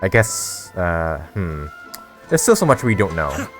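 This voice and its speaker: A man's voice: deep voice, big pitch swings, measured pace, a bit bright. A man giving casual playthrough commentary on a game, hesitantly thinking aloud as he puzzles out the story. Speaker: gamer reacting to a game story. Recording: faint game music behind the voice.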